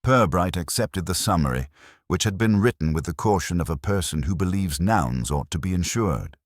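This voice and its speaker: A man reading a scripted literary mystery as narrator, in a measured, formal storytelling manner. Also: low-pitched, expressive, no echo, clean studio sound.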